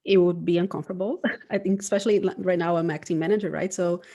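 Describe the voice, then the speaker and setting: A woman's voice: on the low side, steady tone, fast talker, warm tone, highs cut off. A woman, acting as a design manager, talking thoughtfully in a casual conversation, often ending points with a questioning tag. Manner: thoughtful, frequent "right?" tags.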